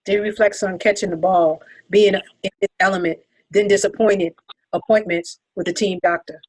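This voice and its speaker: A woman's voice: mid-range voice, fairly even intonation, moderate pace, husky. Lady reading out script action lines at a table read, dry no echo. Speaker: stage-direction reader.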